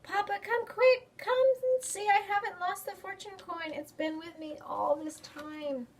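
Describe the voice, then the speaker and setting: A woman voicing an excitable young girl from a picture book, with expressive, exclamatory delivery. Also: extremely high pitch, unhurried, distant mic, pitched above the performer's own voice. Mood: thrilled.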